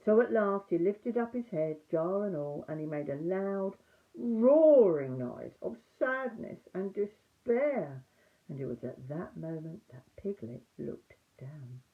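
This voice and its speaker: An older woman reading a children's story aloud in an expressive, emphatic storytime manner. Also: lowish voice, takes it slowly, narrow, tinny audio. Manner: animated, emphatic reading for young listeners.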